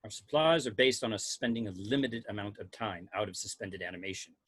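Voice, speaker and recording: A man in an amateur table read playing a dry, skeptical science officer on a spaceship, measured and deadpan. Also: on the low side, slightly rough, dry recording.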